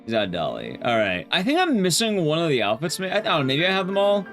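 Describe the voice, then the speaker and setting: Animated male streamer rambling over music. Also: fairly high pitch, quick pace. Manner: rambling, often restarting sentences mid-thought. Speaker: livestreamer. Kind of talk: casual game stream commentary, chatting with viewers.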